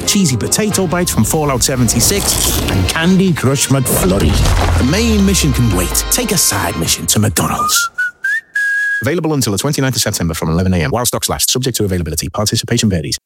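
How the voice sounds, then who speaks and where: A man's voice: mid-range voice, huge pitch swings, over loud music. A man voicing a punchy radio advert. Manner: punchy, then rapid small print. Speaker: advert voice-over.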